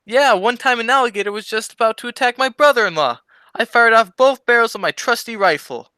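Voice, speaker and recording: A woman's voice: fairly high pitch, expressive, fairly quick, pushed and bright, dry recording, clean studio sound. A woman playing a dim but cheeky male sidekick in an old-time radio comedy, delivering wisecracks with childlike innocence.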